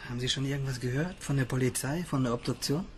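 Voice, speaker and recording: A man's voice: mid-range voice, quick pace, slight background noise, lightly acted. A young man playing a curious, stubborn genetics intern in a radio drama, speaking plainly and casually. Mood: polite, insistent. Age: young adult. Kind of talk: naturalistic German radio play acting.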